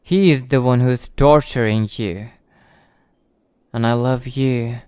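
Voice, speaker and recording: An obsessive young yandere played by a man speaks slowly and deliberately, in a smooth voice recorded dry and close. He is possessive and lovesick, pleading with a tender menace in an intimate ASMR roleplay. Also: mid-range voice, darkish tone, no echo, lightly acted. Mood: menacingly tender.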